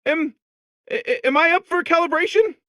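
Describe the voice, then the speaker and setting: A man's voice: extremely high pitch, moderate pace, pushed and bright, no echo, studio quality. A man voicing an anxious, timid robot core in a comedic video game, stammering and rambling with worry.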